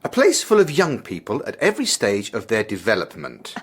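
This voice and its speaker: An actor playing an earnest, polite, beleaguered headmaster in a radio drama, with a dry, formal, measured manner. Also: male voice, on the low side, huge pitch swings, a bit bright, husky, heavily acted. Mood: exasperated, wry. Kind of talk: radio drama narration and dialogue.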